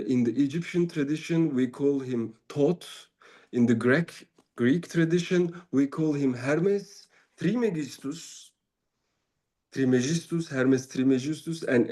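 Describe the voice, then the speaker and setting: A man with a Turkish accent, a native Turkish speaker, giving an informal spiritual talk as a Sufi mentor, halting and searching for his English words. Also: mid-range voice, warm tone.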